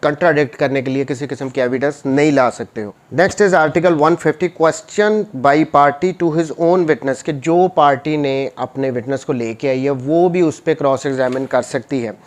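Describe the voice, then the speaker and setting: A man with a Pakistani accent giving an informal law lecture, mixing in English and slowing deliberately when reading legal text. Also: a little high. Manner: switches between Urdu and English, reads legal text slowly and deliberately.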